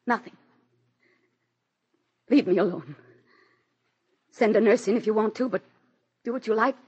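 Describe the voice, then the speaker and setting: An actress playing a grieving, high-strung president's wife in a theatrical, intense 1940s radio drama style. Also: female voice, unhurried, a little mellow, slightly rough, highs cut off. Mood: distraught, angry. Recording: old 1940s radio broadcast with music.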